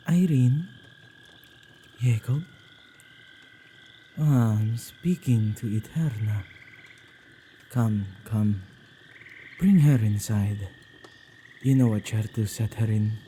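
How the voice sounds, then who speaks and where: A man playing a playful, possessive male witch in an ASMR roleplay, with a husky, dark voice and a teasing, theatrical, musing manner. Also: lowish voice, slight background noise. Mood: amused, teasing.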